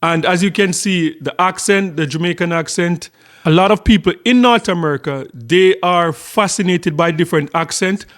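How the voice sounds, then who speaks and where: A man commenting on a dating show clip for his reaction video in a calm, explaining way. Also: high voice, moderate pace. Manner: calm, explaining step by step, some ums.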